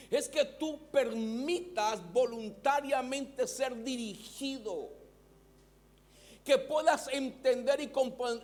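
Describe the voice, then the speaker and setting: A man with a Mexican Spanish accent, preaching a sermon as pastor and having the congregation repeat words after him. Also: very high-pitched.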